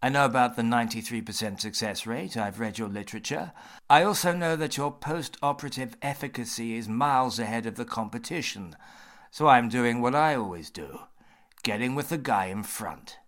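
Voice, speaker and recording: A man's voice: medium pitch, expressive, normal speed, fairly bright tone, natural voice. A man voicing a wealthy, vain man who speaks firmly and insists on what he wants. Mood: insistent. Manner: firm, insistent.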